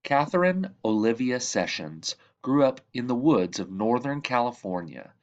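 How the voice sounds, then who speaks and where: A man reading a children's picture book aloud in measured phrases that drop softly at the ends of sentences. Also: mid-range voice, sing-song, highs cut off. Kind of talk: read-aloud storybook narration.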